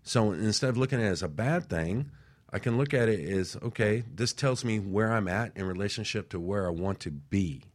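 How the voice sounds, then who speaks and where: Deep-voiced man on motivational talk radio. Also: brisk. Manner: rambling, repeats words, tags lines with "right?". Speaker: co-host. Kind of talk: motivational talk show chat.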